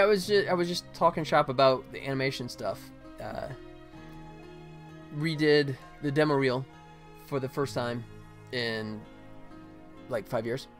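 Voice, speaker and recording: An American man from Atlanta hosting a casual livestream, chatting informally with viewers as he works on his game. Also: a little high. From United States, Atlanta. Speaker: game developer and livestream host. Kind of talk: casual livestream chat while working.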